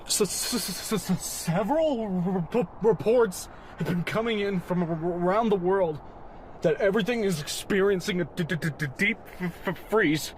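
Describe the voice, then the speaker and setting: Over clear background noise comes a man playing a frightened news anchor, high-voiced and freezing. The bulletin is broken up by a heavy shivering stutter. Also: moderate pace, lightly acted. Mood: shivering, grim. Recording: noisy news-scene audio.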